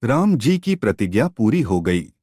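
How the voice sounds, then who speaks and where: A man's voice: medium pitch, very animated, unhurried, dark tone, breathy edge, no room sound, studio quality. A man telling a devotional mythological story as a storyteller.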